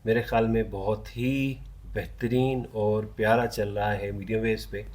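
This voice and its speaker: A man's voice: on the low side, level tone, moderate pace, darkish tone. A man giving a casual, conversational product review as a hobbyist reviewer. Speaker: vintage radio reviewer.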